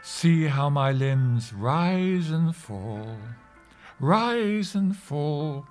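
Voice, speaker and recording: A man's voice: fairly high pitch, animated, drawn-out words, soft background music. An actor playing a pompous, earnest older male office worker who dabbles in martial arts and mindfulness, in a theatrical comedy audio drama style. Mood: hypnotic, soothing.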